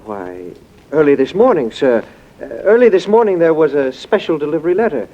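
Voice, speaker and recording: An actor playing an obsequious, hesitant funeral florist's clerk in a 1940s radio drama. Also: male voice, a little high, big pitch swings, brisk. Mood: deferential, flustered. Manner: deferential, hesitant, fussy. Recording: dull old radio recording.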